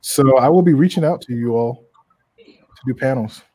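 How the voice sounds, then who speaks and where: A man's voice: mid-range voice, normal speed, mellow, poor audio. A man in his thirties, a guest on a casual group podcast, chatty and telling stories with acted-out quotes. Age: 30s. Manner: chatty storyteller, acts out quotes.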